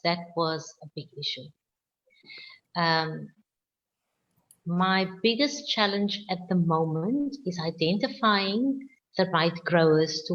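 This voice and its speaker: A South African woman winemaker answering questions on a video call, speaking slowly and with firm emphasis. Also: fairly low pitch, lo-fi sound. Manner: slow, deliberate, emphatic. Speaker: winemaker guest.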